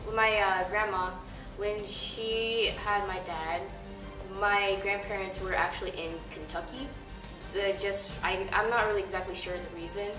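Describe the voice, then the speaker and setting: Hesitant woman, distant echoey recording. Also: mid-range voice. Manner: hesitant, rambling, many fillers and restarts; breaks into light laughs. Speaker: interviewee. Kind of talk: casual interview answers. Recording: roomy echo, distant mic, music behind.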